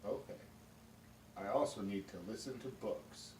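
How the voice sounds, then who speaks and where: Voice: mid-range voice, unhurried, darkish tone, lightly acted. A performer playing a polite, hesitant young male student in an amateur puppet show, giving short, simple answers. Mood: hesitant, earnest. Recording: roomy, distant mic with faint noise.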